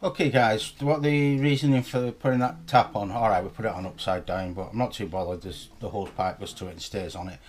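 A middle-aged man with a Scottish accent vlogs about his day, holding the camera at arm's length. His voice sits a bit low and his talk is chatty and rambling. A faint background noise runs under the recording. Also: fairly quick, hardly any pauses. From north east Scotland. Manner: chatty, rambling, self-filming. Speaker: vlogger. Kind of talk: casual vlog chat to camera. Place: home living room and outdoors, parked car. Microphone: handheld camera at arm's length.

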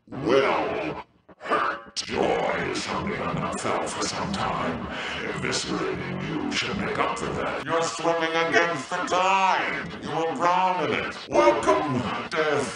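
A man's voice: medium pitch, vocal fry, very echoey, recorded from a distance, full character voice. A man playing a menacing, sadistic plague-bringing villain program in a video game, with a taunting, theatrical delivery and a processed voice.

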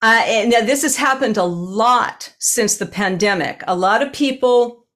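A woman's voice: a little high, unhurried, a bit bright. A woman in her sixties hosting a casual podcast chat over a video call from her home, talking in an earnest, chatty way. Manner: chatty, earnest, gestures with hands while talking. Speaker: animal communicator and podcast host. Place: home room with bookshelves. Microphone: webcam on a video call.

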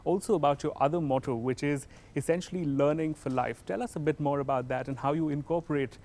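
A man's voice: on the high side, fast talker, a little mellow. A man in his thirties hosting a TV interview outdoors, asking polite conversational questions. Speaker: tv host interviewer. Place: outdoors on campus stairs.

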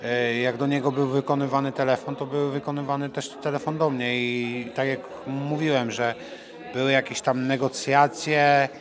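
A man's voice: medium pitch, level tone, normal speed. A man, a fighter giving a conversational interview in a blunt, challenging manner into a handheld microphone. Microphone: handheld reporter microphone. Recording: crowd chatter in the background.

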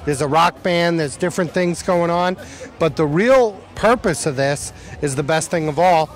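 A man's voice: fairly high pitch, normal speed. A man at an outdoor charity event, speaking enthusiastically in a casual thank-you speech. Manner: enthusiastic, repeats "awesome". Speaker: law firm partner speaking at a charity event.

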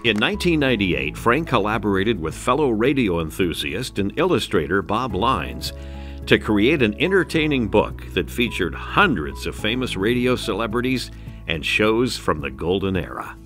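A man's voice: lowish voice, sing-song, moderate pace, a bit bright. A man reading scripted documentary narration over music.

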